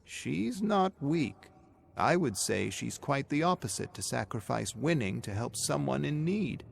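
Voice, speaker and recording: Man voicing an earnest old grandmother, pitched up. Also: very animated, a little slow, gravelly, a little hiss or hum, full character voice. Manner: earnest, admonishing. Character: kindly but firm elderly grandmother giving earnest advice.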